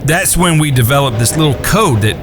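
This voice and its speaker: A man as a calm, courteous drawling gunslinger. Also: on the high side, fairly quick, natural voice. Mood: composed menace. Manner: folksy, polite, long-winded explaining. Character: calm, courteous, quietly menacing Western gunslinger brother. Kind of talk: Western audio drama acting. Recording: music bed behind the voice.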